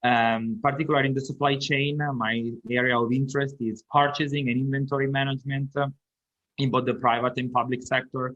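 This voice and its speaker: A man's voice: medium pitch, level tone, a little fast, fairly bright tone, highs cut off. A man with an Italian accent, answering podcast interview questions in a careful explanatory way, with frequent "uh" fillers. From Italy. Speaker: guest academic.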